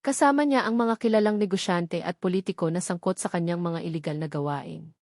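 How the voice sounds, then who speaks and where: A woman's voice: lowish voice, fairly quick, darkish tone, dry recording, studio quality. A woman reading a scripted first-person dramatic story as narrator.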